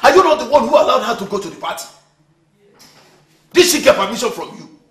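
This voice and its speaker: High, swooping, domineering Nigerian father. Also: very animated, normal speed, fairly bright tone. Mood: angry, scolding. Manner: indignant repeated rhetorical questions. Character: domineering, hot-tempered father and family chief. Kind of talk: melodramatic film acting.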